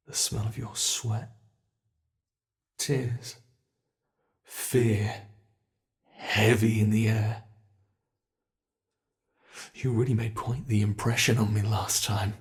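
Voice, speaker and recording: A British man voicing a sadistic male monster from under the bed, speaking slowly and menacingly in an ASMR horror roleplay, often dropping to whispers. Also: lowish voice. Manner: slow, taunting, menacing, drifting into whispers.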